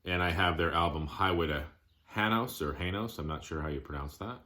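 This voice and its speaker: Very deep, bright-voiced man presenting casually. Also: brisk. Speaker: music collector showing his collection. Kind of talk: casual show-and-tell vlog.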